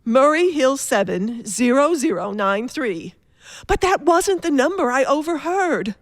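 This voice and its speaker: An actress playing a nervous, complaining invalid wife in a radio play, haughty, fretful and insistent. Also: female voice, sits high, very animated, unhurried, no echo, studio quality, full character voice. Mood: anxious, fretful.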